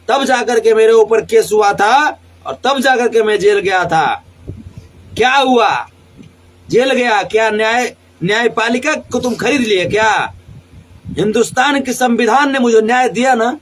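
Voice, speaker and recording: A man's voice: very high voice, a bit bright. A man with a Bhojpuri accent delivering an angry, defiant live rant, combative and repeating phrases for emphasis. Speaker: singer.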